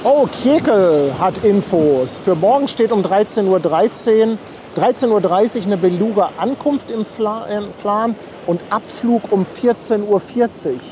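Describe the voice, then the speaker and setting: A man's voice: high-pitched, a little slow, background noise. A man hosting a casual live stream from outdoors at a harbor, chatting and thanking viewers as he reads out their messages. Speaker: livestream host.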